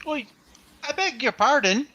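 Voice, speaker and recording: Lady doing a polite flustered gentleman, hesitant n formal, bright voice, slowish, radio play reading. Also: sits high, animated, muffled recording, heavily acted. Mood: astonished. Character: flustered, courteous gentleman collecting for charity.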